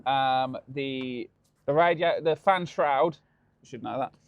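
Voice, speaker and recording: A man's voice: mid-range voice, unhurried, smooth, dry recording, phone-quality sound. A man with a Birmingham accent chatting casually and explaining a truck repair in a workshop. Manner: chatty, laughing, explaining while working. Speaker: channel host.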